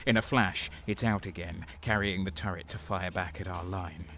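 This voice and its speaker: A man's voice: deep voice, steady tone, moderate pace, music in the background. A man playing a hard-bitten, ruthless renegade soldier, narrating his war story intensely in the first person. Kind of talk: first-person dramatic narration, audio drama.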